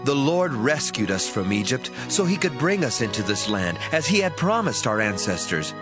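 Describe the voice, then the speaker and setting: A man's voice: mid-range voice, very animated, moderate pace, close to an everyday voice. An actor playing a reverent Israelite father who teaches his children their history in a solemn, emphatic dramatized scripture reading. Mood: reverent, earnest. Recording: music under the voice.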